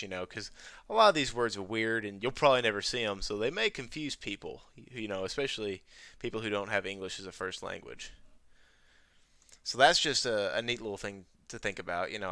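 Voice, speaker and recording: A man with a fairly low, bright voice presents a casual tutorial. His delivery is informal and conversational, full of filler phrases. The sound is clean and dry, with a crisp tone. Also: fairly quick, no room sound. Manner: informal, conversational, peppered with "you know". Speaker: presenter of an educational video on teaching ESL. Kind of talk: casual tutorial talk over a screen demonstration.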